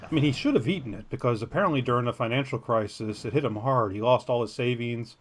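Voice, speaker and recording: A man's voice: mid-range voice, fast talker. A man co-hosting a podcast, reading a news story aloud and breaking off into jokey, self-deprecating tangents. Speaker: podcast co-host.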